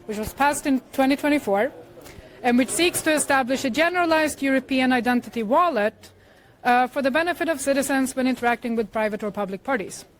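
A woman from Sweden giving a conference talk into a handheld microphone, explaining in a measured way with frequent filler sounds. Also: high voice, poor audio. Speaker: conference speaker. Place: conference room. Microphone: handheld microphone shared with co-speaker.

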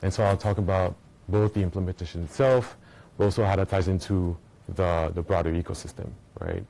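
A man's voice: deep voice, fairly even intonation, fairly quick, vocal fry, warm tone, low-quality recording. A man from Belgium giving a casual technical conference talk to a room, often checking in with "right?" between points. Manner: casual, explaining, frequent "right?" and "uh" fillers. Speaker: conference speaker. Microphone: small recording mic.

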